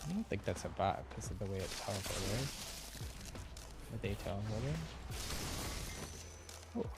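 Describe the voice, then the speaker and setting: A man's voice: on the low side, lively intonation, measured pace, very noisy. A man giving casual live commentary as a game streamer, mild-mannered with clean exclamations. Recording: game music behind the voice. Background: loud game music.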